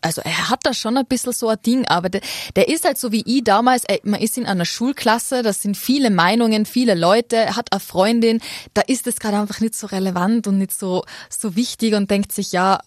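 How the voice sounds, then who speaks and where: A woman's voice: mid-range voice, brisk, no echo. Young Austrian woman guest, casual and talkative, English words creeping in, dry recording. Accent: Austrian German. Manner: chatty, laughs often, mixes in English words. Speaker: interview guest, content creator. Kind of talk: casual interview chat.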